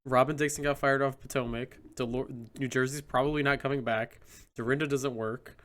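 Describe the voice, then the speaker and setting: A man co-hosting a pop-culture podcast in lively, joking banter. His voice sits mid-range with a bright, forward edge. He laughs easily and plays off his partner. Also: medium pitch. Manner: animated, quick to laugh, jokey. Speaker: podcast co-host. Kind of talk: casual pop-culture chat.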